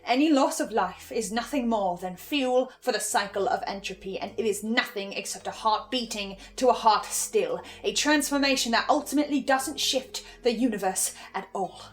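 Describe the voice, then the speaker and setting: A woman playing a composed, eerie researcher in a horror audio drama, speaking in a deliberate theatrical way. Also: a little high, soft background music, lightly acted. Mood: cold, menacing. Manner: slow, deliberate, ominous, suave.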